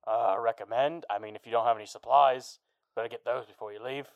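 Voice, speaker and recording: A hesitant greenskin fumbles through a call, heard over a tinny, dry phone line, voiced by a man. The voice is gravelly yet smooth and keeps stalling between words. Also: mid-range voice, fairly even intonation, fast, dry recording. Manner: hesitant, full of "uh"s.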